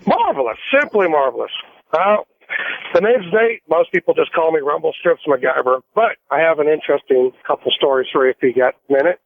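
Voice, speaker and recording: A man's voice: fairly high pitch, expressive, brisk, vocal fry. An American man, a trucker calling a radio show, telling a casual, rambling story over a phone line. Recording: tinny narrowband phone line.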